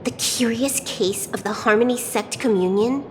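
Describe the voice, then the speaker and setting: A woman voicing a cool, wry young hacker outlaw in a video game, cheeky and unbothered with deadpan wit. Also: a little high, normal speed, big-room sound, a little hiss or hum, natural voice, low-quality recording. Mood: matter-of-fact. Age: young adult.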